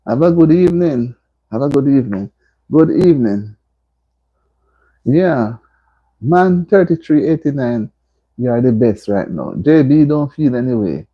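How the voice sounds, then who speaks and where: A woman's voice: low-pitched, breathy edge, dull audio. A woman hosting a casual livestream from home, laughing and teasing as she reads viewer comments aloud. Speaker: livestream host. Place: indoors at home.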